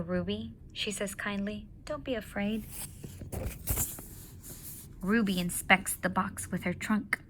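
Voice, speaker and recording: A woman teacher reading a children's novel aloud to her students in a slow, deliberate storytelling manner. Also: medium pitch, slight background noise, low-quality recording.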